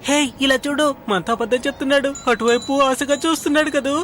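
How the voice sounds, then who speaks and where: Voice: high voice, fast, a little hiss or hum. An adult performer playing a cheeky young kid who teases the others in a dubbed cartoon. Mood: teasing. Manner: teasing. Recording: loud music under the voice.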